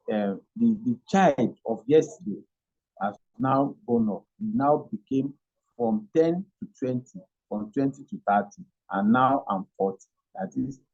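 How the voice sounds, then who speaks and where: A Nigerian man giving an interview, answering haltingly with many fillers and restarts. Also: on the low side, dark tone, breathy edge. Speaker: interview guest. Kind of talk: hesitant conversational answers. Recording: dull lo-fi audio.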